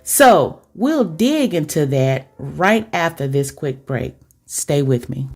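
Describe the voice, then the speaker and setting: A woman's voice: deep voice, expressive, slow, breathy edge, faint music behind. A woman podcast host talking directly to her listeners in a chatty, confiding girlfriend-to-girlfriend way, with rhetorical questions.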